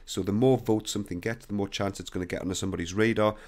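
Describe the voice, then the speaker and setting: A young man with a croaky voice, bluntly talking through a CAD software screen recording. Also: on the low side, fairly even intonation, rapid-fire. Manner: blunt, opinionated, conversational. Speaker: CAD software tutorial host.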